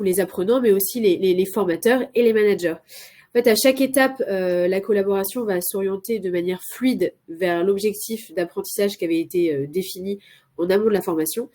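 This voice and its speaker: Woman with a cold, consultant, hesitant live webinar presenter, medium pitch. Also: warm tone. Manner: hesitant, many filler sounds and restarts. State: has a cold.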